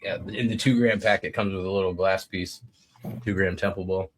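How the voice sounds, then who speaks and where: A man chatting casually as a podcast panelist, halting and conversational, often rephrasing as he goes. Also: sits low, steady tone, crowd noise, poor audio. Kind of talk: casual chat.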